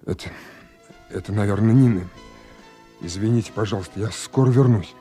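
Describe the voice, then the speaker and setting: A man's voice: fairly low pitch, normal speed, warm tone, close to an everyday voice. An actor playing an earnest, ambitious young naval lieutenant who also narrates his story in a radio play. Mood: polite. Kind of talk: radio play acting and first-person narration. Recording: studio radio play, faint music.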